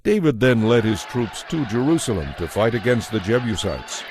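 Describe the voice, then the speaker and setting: A man narrating scripture from a script in a measured, solemn manner. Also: mid-range voice, expressive, background noise. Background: soft music.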